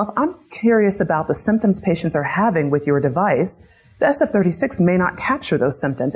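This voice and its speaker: A woman's voice: fairly low pitch, darkish tone, slightly rough. A woman giving an academic lecture, methodically posing a string of questions to her audience. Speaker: lecturer on patient-reported outcomes. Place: lecture room.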